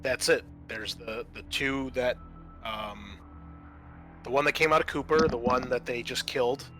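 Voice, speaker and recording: A man narrating a tabletop roleplaying game as its game master, halting as he thinks aloud. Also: medium pitch, steady tone, bright, forceful tone, faint music behind.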